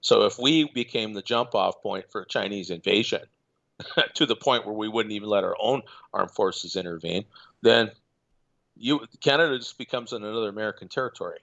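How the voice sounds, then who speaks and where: A man calling in as a guest, talking in a measured, hesitant way with many fillers. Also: on the low side, vocal fry, fairly bright tone, dull audio. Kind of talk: casual remote call-in chat.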